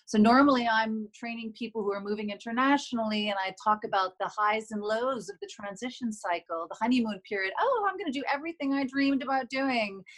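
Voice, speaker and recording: A woman's voice: on the high side, lively intonation, a little fast, few pauses, a bit bright, low-quality recording. A woman psychologist guest, explaining her work in a careful, explanatory manner. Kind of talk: explanatory conversation.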